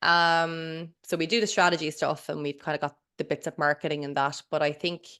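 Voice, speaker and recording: A woman's voice: deep voice, flat delivery, a little fast, a bit bright, clean tone. An Irish woman in her thirties, a coach chatting as a podcast guest in a rambling, candid way. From Ireland. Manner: chatty, rambling, swears and self-interrupts. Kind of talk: casual interview chat.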